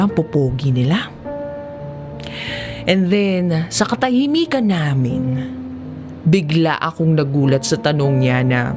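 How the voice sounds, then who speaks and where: A woman with a Filipino accent narrating a first-person drama story in a conversational way, acting out the reactions as she goes. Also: low voice. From Philippines. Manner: conversational, acts out dialogue and inner reactions. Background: music behind the voice.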